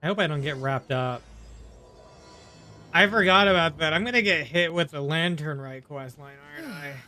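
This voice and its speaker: A male game streamer chats casually as he plays. His voice is bright and a bit high for a man, full of wry, teasing asides, with faint music behind it. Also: expressive, moderate pace. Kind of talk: casual playthrough commentary.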